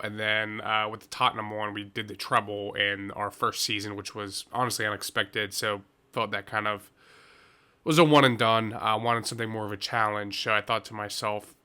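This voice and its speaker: A man's voice: lowish voice, pushed and bright, smooth. A man giving casual, laid-back gaming commentary as host, sounding a little stuffy with a head cold.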